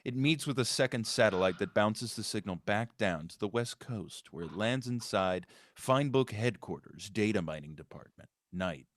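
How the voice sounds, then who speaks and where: A man's voice: lowish voice, lively intonation, normal speed, few pauses, no room sound. A man reading scripted stage directions as the narrator of a screenplay table read.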